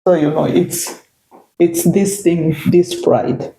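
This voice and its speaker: Gravelly low-voiced Zambian woman. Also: steady tone, takes it slowly, dark tone, a little hiss or hum. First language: Bemba. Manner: reflective, circling phrasing with frequent "you know". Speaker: guest. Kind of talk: casual interview chat. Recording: echoey room.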